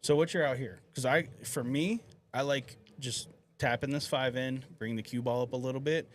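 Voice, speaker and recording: A man's voice: medium pitch, fairly even intonation, moderate pace. A man co-commentating a live pool match, chatty and opinionated, often restarting his sentences.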